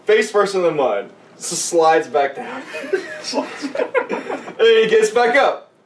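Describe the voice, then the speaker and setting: A man's voice: high voice, very animated, slow pace. A man telling a rambling, comic story to friends, casual and sweary, often close to laughing. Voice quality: frequent swearing. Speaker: podcast storyteller. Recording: roomy, distant mic with background hiss.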